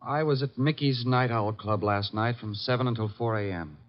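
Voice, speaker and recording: An actor playing a jealous, defensive murder suspect, flustered and florid, in a 1950s radio drama. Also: male voice, medium pitch, moderate pace, natural voice. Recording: dull lo-fi 1950s radio recording.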